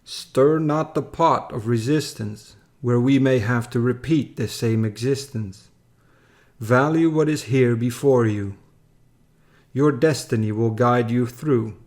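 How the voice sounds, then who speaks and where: A man narrates a rhyming tale at a slow pace. His delivery is solemn and measured, with a mid-range pitch. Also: darkish tone. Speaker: story reader.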